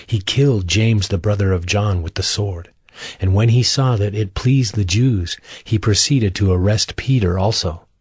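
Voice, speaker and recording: A man giving a scripted, solemn and measured narration for an audio drama of scripture. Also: lowish voice, slightly rough, dry recording, studio quality.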